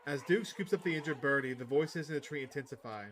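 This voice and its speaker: A man's voice: fairly high pitch, fast, fairly bright tone, a little hiss or hum. A man hosting a gaming video, reading visual novel narration aloud and adding casual commentary. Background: music.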